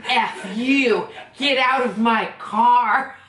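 A woman's voice: on the high side, very slow, fairly bright tone. A woman in her thirties chatting casually as a vlog co-host, teasing and exclaiming, recorded indoors from a few feet away. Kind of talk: casual comedic banter between friends. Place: small room at home, indoors. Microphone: camera a few feet away. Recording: roomy echo with clear background noise.

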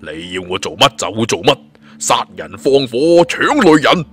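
A man's voice: mid-range voice, unhurried, breathy edge, soft background music, exaggerated voice. Man doing an eager, greedy bandit underling. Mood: excited, fawning. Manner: excited, boastful. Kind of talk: audiobook character voice.

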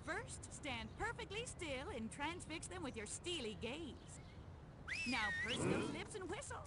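A woman's voice: very high-pitched, very animated, a little slow, very noisy, exaggerated voice. A woman voicing a relentlessly sunny robot tour guide in a video game, with perky, sing-song delivery. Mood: encouraging. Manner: sing-song, perky, scripted hospitality patter. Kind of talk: video game character acting. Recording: loud game music underneath.

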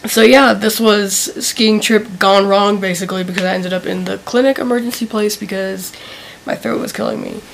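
A teenage girl vlogging to a handheld camera, sick with a sore throat and cough, talking softly and tiredly with many fillers. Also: mid-range voice, lively intonation, normal speed, vocal fry, slight background noise. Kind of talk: casual vlog to camera. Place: indoors in a vacation rental living room. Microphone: handheld camera at arm's length.

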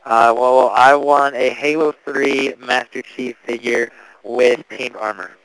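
A man's voice: medium pitch, steady tone, takes it slowly, fairly bright tone, smooth, dry recording. A young man making a casual prank phone call, asking slangy questions like a mock-earnest customer. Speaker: prank caller.

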